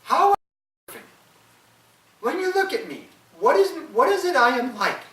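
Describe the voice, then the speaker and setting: A man voicing an insistent young island wife in a folktale, raising his voice high and sharp. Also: sing-song, moderate pace, heavily acted. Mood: urging. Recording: echoey room, from far off.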